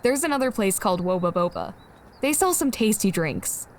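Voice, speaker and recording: A woman plays a teenage girl who sounds nonchalant and blunt. Her pitch is average for a woman, and this amateur comic dub has clear noise behind the voice. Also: big pitch swings, moderate pace. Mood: nonchalant, curious.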